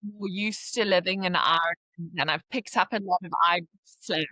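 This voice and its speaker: Bright-voiced South African woman, chatty. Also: lowish voice, moderate pace, no echo, poor audio. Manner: chatty, rambling, many "like" fillers. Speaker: podcast guest. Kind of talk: casual interview chat.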